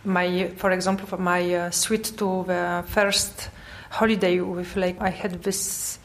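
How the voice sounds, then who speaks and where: A woman in her forties from Poland, with a Polish accent, answering interview questions in a hesitant, halting way and searching for English words. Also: on the low side, little pitch movement. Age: 40s. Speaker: artist guest.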